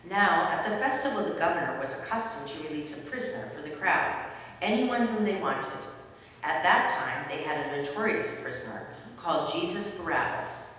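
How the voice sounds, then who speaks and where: A woman's voice carries from a distance through a very echoey hall. She narrates scripture formally, with a lowish pitch, as the reader of a dramatic story. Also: expressive, moderate pace, fairly bright tone, a little hiss or hum. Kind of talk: scripted dramatic Bible reading.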